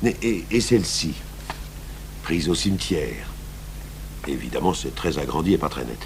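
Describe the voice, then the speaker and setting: A man acting a thoughtful, stubborn police commissioner in a French radio crime drama, speaking deliberately with hesitant pauses. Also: low-pitched, full character voice. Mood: pensive. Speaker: actor playing a police commissioner. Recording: noisy 1980s radio recording with hum.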